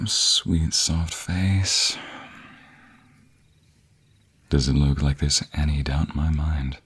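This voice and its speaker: A man playing a devoted, protective vampire boyfriend in a romantic audio roleplay, husky and breathy, speaking in ornate old-fashioned phrases close to a binaural mic. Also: fairly bright tone, heavily acted. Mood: tender, intimate. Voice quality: low, husky, breathy, often dropping to a whisper. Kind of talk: romantic audio roleplay acting, addressing the listener intimately. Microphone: close binaural microphone.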